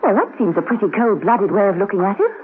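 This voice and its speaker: A woman's voice: medium pitch, very animated, fast, breathy edge. An actress playing a cool, witty woman spy in a radio drama, with an arch, unruffled manner. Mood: wry. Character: cool, witty, poised female amateur spy. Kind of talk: 1960s-style spy radio drama acting. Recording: tinny, noisy old radio recording.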